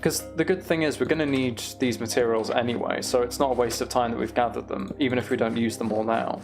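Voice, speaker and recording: Gravelly-voiced man chatting on a livestream, music underneath. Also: mid-range voice, fairly even intonation, fast talker. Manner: musing aloud, reads and answers viewer comments. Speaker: live streamer. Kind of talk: casual gaming livestream chat.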